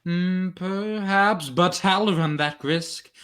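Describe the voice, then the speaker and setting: Man playing a bright-voiced, arch radio-thriller thief. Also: high-pitched, slow, smooth. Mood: cool defiance. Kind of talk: 1940s radio thriller acting.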